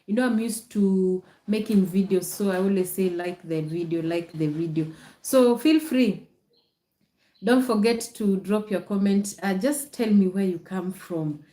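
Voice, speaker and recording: A woman's voice: mid-range voice, a little slow, mellow, roomy sound. A woman with a Kenyan accent chatting casually to viewers as a livestream host, explaining patiently and repeating phrases. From Nakuru, Kenya. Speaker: content creator hosting a livestream.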